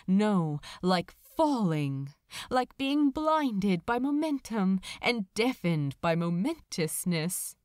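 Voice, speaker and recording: A woman reading a romantic story aloud as a narrator, with a dramatic, tender and measured delivery. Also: on the high side, darkish tone, no room sound, clean studio sound. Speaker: fan fiction audiobook narrator. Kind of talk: expressive scripted story narration.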